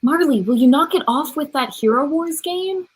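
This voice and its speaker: A woman's voice: sits high, lively intonation, moderate pace. A woman playing an exasperated, sceptical young camper heroine in an audio drama, with a pointed delivery. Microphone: video call.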